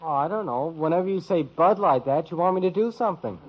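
Cheeky lazy son, offhand, lo-fi broadcast. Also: male voice, fairly high pitch, expressive, fast talker, breathy edge. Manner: offhand, teasing. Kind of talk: 1950s radio sitcom acting. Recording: dull old radio broadcast, faint hiss.

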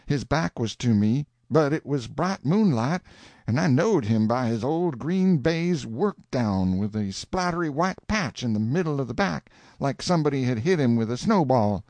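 A man's voice: medium pitch, expressive, moderate pace, a little mellow, dry recording, voice pushed down, close to an everyday voice. A man voicing a grieving rustic witness who testifies in a plain, somber way, in folksy rustic dialect.

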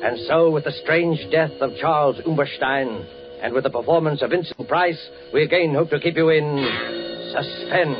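A man hosting a 1940s radio thriller series with a formal, measured, ominous and theatrical scripted introduction. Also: a little high, music in the background. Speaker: mysterious radio series host. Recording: muffled vintage 1940s radio recording.

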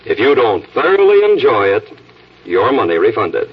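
A man's voice: medium pitch, sing-song, normal speed, breathy edge, distant mic. An American man announcing and reading sponsor copy in a scripted 1940s radio style. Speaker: radio announcer and coffee pitchman. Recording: muffled, noisy old radio broadcast.

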